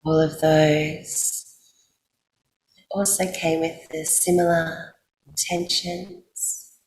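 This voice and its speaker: A woman with an Australian accent guides a sleep meditation in a soothing, unhurried way, leaving long pauses. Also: low voice, little pitch movement, darkish tone. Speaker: yoga nidra guide. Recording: roomy echo, faint background noise.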